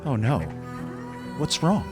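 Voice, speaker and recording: A man playing a gruff but loyal old fishing buddy in an audio drama, with blunt, folksy delivery. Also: mid-range voice, animated, slow, music in the background. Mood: shocked, sympathetic.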